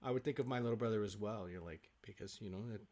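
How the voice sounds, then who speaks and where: A man speaking casually as an interview guest on a video call, in a halting, rambling, animated way. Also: fairly low pitch. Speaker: guest, stage actor. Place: home office, on a video call. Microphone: video call microphone.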